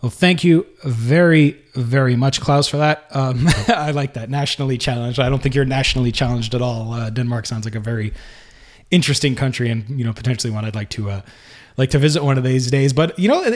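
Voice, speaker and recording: A man hosting a podcast, talking loosely and unscripted, with frequent pauses and self-corrections. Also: mid-range voice. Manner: unscripted, halting, many fillers and restarts. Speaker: podcast host. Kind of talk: casual solo podcast talk.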